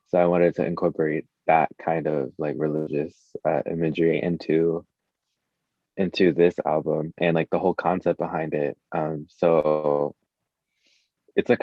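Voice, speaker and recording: A man's voice: very deep voice, little pitch movement, moderate pace, dry recording, muffled recording. A man chatting casually as an interview guest, a musician with an informal, slangy, hesitant way of talking, saying "like" constantly. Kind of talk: casual chat.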